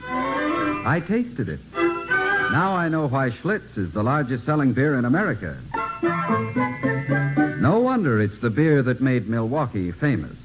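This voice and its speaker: A man's voice: medium pitch, very animated, a little slow, pauses often, rough recording. A man delivering a scripted testimonial in an early 1950s radio commercial. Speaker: advertisement speaker. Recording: old radio recording, loud music behind.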